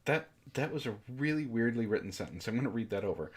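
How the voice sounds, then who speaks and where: A man with a husky voice reading a story dramatically and breaking in with sarcastic, exasperated commentary. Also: medium pitch, expressive, quick pace. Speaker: book club reader and commentator.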